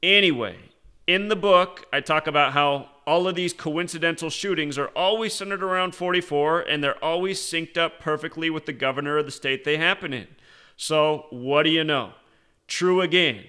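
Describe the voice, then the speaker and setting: A man's voice: fairly high pitch, normal speed, pushed and bright, clean tone. An American man delivering an unscripted commentary as an online show host, in a sardonic, pointed manner. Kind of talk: unscripted conspiracy monologue and commentary.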